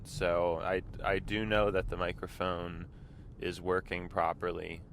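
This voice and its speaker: A man hosting his own show, talking casually while driving, with a congested voice from a mild illness. He rambles and keeps clearing his throat. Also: low-pitched, little pitch movement, unhurried, a bit bright, slight background noise. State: congested, slightly sick voice. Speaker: vlog host. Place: inside a car.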